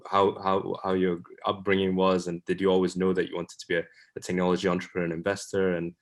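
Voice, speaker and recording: A male host on a video call asking a guest questions haltingly, full of fillers and restarts. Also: low voice, completely flat delivery. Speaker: interview host. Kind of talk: casual interview questions.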